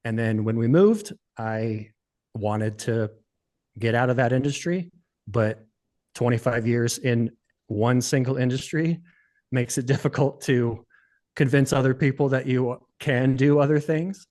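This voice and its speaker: A man in his forties from the United States, chatting as a podcast guest in a relaxed, rambling way, with many fillers. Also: medium pitch, measured pace. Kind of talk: casual interview chat.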